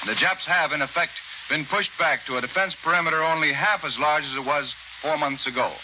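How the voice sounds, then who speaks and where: An American man reading a formal, steady war dispatch as a correspondent on 1940s radio. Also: fairly high pitch, fairly quick. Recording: muffled, crackling 1940s shortwave radio relay.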